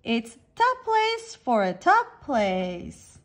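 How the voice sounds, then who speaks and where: A woman voicing a round, comical pufferfish in a storybook, excitable and exclaiming. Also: a little high, extremely slow, exaggerated voice. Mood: jubilant. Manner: wailing, exclaiming.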